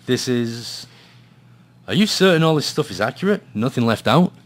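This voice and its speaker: A man's voice: medium pitch, animated, moderate pace, lightly acted. A man with a Scottish accent playing a weary, dry private investigator in a noir radio drama, hesitant and understated. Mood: probing, incredulous. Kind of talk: noir radio drama, dialogue and brooding first-person narration.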